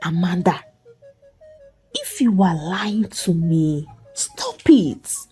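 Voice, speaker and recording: A woman's voice: fairly low pitch, wildly swooping pitch, extremely slow, dark tone, slightly rough, soft background music, heavily acted. A woman voicing a stern, agitated husband who challenges in disbelief, in a dramatic story. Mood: angry disbelief. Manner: incredulous, challenging.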